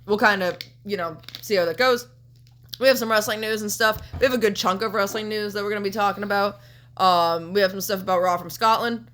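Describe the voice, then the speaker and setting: A woman's voice: mid-range voice, fairly even intonation, a little fast, a bit bright. A young woman in her twenties hosting a casual podcast, a bit congested and sniffly, rambling with lots of ums and cheerful swearing. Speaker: podcast host.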